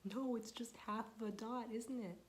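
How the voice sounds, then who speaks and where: A young woman, a children's librarian, reading a picture book aloud to small children, gentle and patient, asking them questions and waiting for their answers. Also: a little high, fairly even intonation, normal speed.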